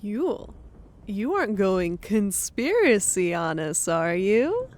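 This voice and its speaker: Woman acting a lively, wry tech geek. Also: mid-range voice, takes it slowly, a little hiss or hum. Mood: wry teasing. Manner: wry, teasing. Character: bright, wry, tech-obsessed woman gamer. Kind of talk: audio story character acting.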